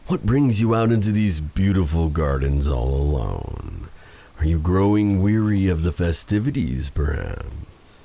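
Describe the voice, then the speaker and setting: A man playing an exiled dark sorcerer in a fantasy ASMR audio roleplay, a menacing, flirtatious villain with a theatrical, teasing, deliberate delivery. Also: extremely low pitch, vocal fry, breathy edge, heavily acted. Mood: playful, flirtatious.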